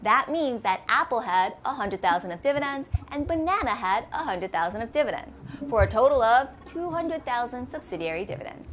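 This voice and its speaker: Casting: woman, slightly high-pitched, methodical teacher narrating a scripted tutorial, with some noise in the recording. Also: big pitch swings, a little fast, few pauses, fairly bright tone. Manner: methodical, instructional, asks and answers own questions. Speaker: accounting instructor. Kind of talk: scripted step-by-step lesson narration.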